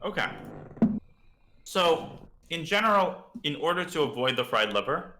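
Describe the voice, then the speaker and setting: A man's voice: on the high side, very animated, measured pace, bright, forceful tone, reverberant. A man with a slightly parched throat, chatting casually as he teaches chess on a live stream and thinks aloud about moves.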